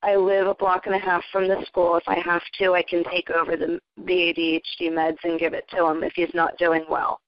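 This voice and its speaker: A woman's voice: on the low side, completely flat delivery, brisk, few pauses, no echo, dull audio. A middle-aged woman giving a casual personal testimonial, telling her story conversationally as a parent on a recorded call. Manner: conversational, retelling dialogue in quotes. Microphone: recorded conference call.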